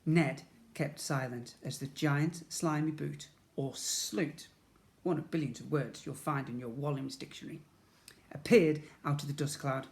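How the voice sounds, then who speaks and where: A man's voice: on the high side, fairly even intonation, measured pace. A man reading a storybook aloud expressively to young children, as a schoolteacher would.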